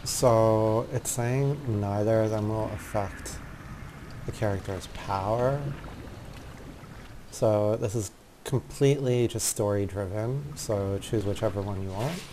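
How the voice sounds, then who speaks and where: A man's voice: on the low side, slow, dark tone, slight background noise. A man hosting a gaming video with casual walkthrough commentary. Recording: faint game music behind.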